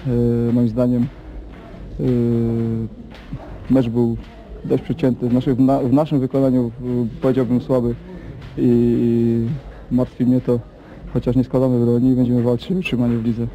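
A man, a goalkeeper, answering interview questions outdoors at a stadium, hesitant and halting. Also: mid-range voice, flat delivery, lo-fi sound, a little hiss or hum. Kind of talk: post-match interview.

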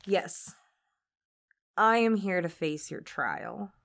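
A woman's voice: fairly low pitch, very animated, slow, gravelly, fairly bright tone, full character voice. A woman playing a resolute, regal demon princess in an audio drama, speaking in an earnest, formal way. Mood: firm. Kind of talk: audio roleplay drama acting.

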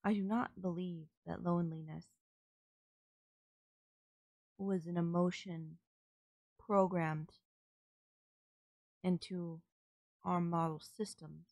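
Casting: actress as female android servant for audio roleplay, polite and emotionless, lowish voice, very measured and halting, dry close sound. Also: steady tone, vocal fry, darkish tone, no room sound, full character voice, poor audio. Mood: detached, apologetic. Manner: formal, stilted, halting, literal delivery. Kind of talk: scripted audio roleplay, ASMR.

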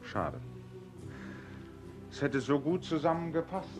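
A man's voice: a little high, expressive, slow pace, over music. An actor playing a puzzled, wistful painter in a literary radio play, musing and questioning as he speaks. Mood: surprised, sceptical. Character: searching, puzzled male painter and narrator, wistful.

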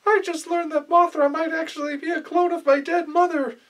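A man's voice: very high-pitched, little pitch movement, a little fast, a bit bright, voice pushed up. A man voicing a whiny, overwrought, self-doubting little boy in an anime parody. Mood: panicked, distressed. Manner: whining, pleading, overwrought.

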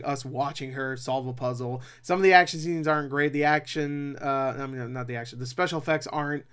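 A young man reviews movies in a voice pitched a little above average. He talks casually and without a script, rambling along with animated asides and a self-deprecating streak. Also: smooth. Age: young adult. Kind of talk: casual unscripted review chat. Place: small cluttered room at home, indoors.

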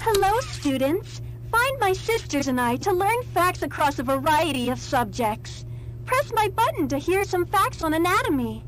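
An actress playing a prim schoolteacher doll in a horror game, lecturing in an over-sweet, recited manner. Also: female voice, very high-pitched, big pitch swings, normal speed, soft background music, poor audio. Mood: chipper, instructive. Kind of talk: horror video game educational recording.

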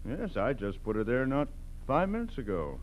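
Puzzled American man, wildly varying pitch. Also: huge pitch swings, normal speed. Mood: puzzled, polite. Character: mild, puzzled male car owner. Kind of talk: 1940s American radio drama acting. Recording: noisy, humming vintage radio recording.

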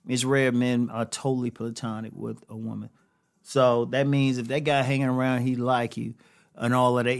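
A man's voice: medium pitch, gravelly. A middle-aged American man giving casual advice straight to camera, conversational and emphatic, repeating phrases for emphasis. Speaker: relationship advice host. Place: living room, indoors.

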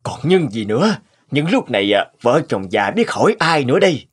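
Husky, earnest old cartoon grandfather. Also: medium pitch, very animated. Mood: worried, urgent. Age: older. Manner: halting, earnest, swooping intonation. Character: worried, good-hearted elderly grandfather. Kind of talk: cartoon dialogue acting.

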